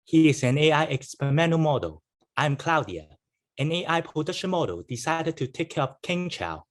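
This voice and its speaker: A man's voice: on the high side, big pitch swings, moderate pace. A man playing a polite, formal artificial intelligence caretaker in staged reader's theatre.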